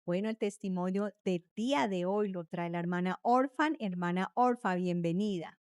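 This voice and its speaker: A woman's voice: mid-range voice, moderate pace, darkish tone, clear, resonant tone, dry recording, clean studio sound. A woman speaking as the host of a religious testimony interview, introducing and questioning a guest.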